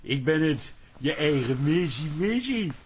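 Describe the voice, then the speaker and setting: A devoted, fussy husband in a radio comedy. His high voice rises and falls widely as he coaxes. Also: sing-song, measured pace, slight background noise. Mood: coaxing. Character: fussy, devoted husband, exasperated yet tender. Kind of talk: comic radio drama acting.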